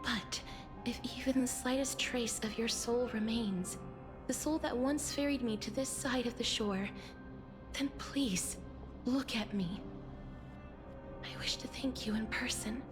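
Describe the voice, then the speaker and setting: An actress playing a gentle, solemn young woman in a video game, speaking in a soft, breathy, measured and formal way. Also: on the high side, close to an everyday voice. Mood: solemn tender. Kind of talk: video game story dialogue. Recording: background music under the voice. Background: game music.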